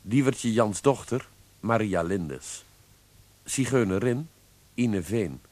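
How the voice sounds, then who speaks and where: A man's voice: lowish voice, lively intonation, slow pace, darkish tone, no echo. A man reading closing credits as a radio announcer, in a formal, scripted style.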